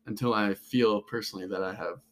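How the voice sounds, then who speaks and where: A man's voice: fairly low pitch, fairly even intonation, brisk. An American man in his twenties talking casually as a podcast guest, informal and often saying like. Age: 20s. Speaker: podcast guest, rugby player.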